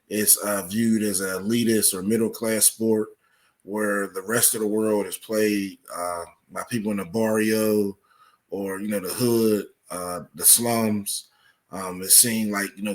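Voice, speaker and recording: A man explaining his research as an interview guest, with halting, measured phrasing. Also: on the low side, fairly bright tone.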